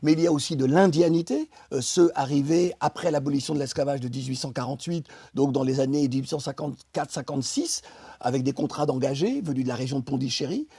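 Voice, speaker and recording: A middle-aged man from France, a guest in an interview, speaking emphatically about politics with many pauses and restarts. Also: mid-range voice, mellow, breathy edge, dry recording. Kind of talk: impassioned political interview talk.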